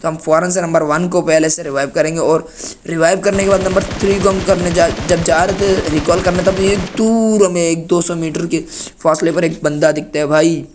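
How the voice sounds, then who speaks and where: A hoarse young man with a cold from Pakistan, giving chatty, playfully indignant commentary over his own gameplay as a gaming channel host. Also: fairly high pitch, fairly even intonation, moderate pace, background noise.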